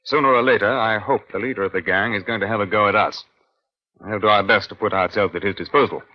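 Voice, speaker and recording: An actor playing a senior British police inspector in an old-time radio crime drama, measured and authoritative with a dry, wry edge. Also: male voice, lowish voice, fairly even intonation, close to an everyday voice. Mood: brisk, resolute. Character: male senior Scotland Yard police inspector, brisk and wry. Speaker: narrator and lead detective. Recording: dull, lo-fi vintage radio recording.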